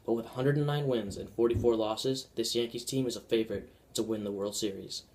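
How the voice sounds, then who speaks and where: A man's voice: medium pitch, fairly even intonation, fairly quick, darkish tone, close to an everyday voice, rough recording. A man playing an upbeat 1920s radio sports announcer, reading scripted news.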